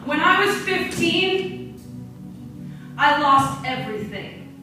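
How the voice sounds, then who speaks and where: A young woman recites slam poetry in a high voice. Her delivery is dramatic and emphatic. She is some distance from the microphone in a very echoey room, with music clearly audible. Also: expressive, takes it slowly. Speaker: spoken-word poet. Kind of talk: slam poetry recitation with casual spoken introduction. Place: large echoey indoor room at a retreat. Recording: very echoey room, far from mic.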